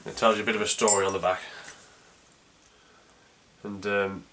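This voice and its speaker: This is a man with a North Yorkshire accent talking his way through a homemade beer review. His voice sits a touch low but comes across bright and forceful. He rambles in a friendly way, full of fillers and restarts. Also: little pitch movement, normal speed, slight background noise, poor audio. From North Yorkshire, England. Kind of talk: casual video beer review.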